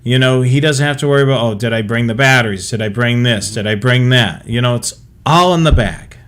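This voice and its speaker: A man's voice: medium pitch, fairly quick, a bit bright, clear, resonant tone. A man co-hosting a hobby show, chatting casually and talking listeners through examples and advice.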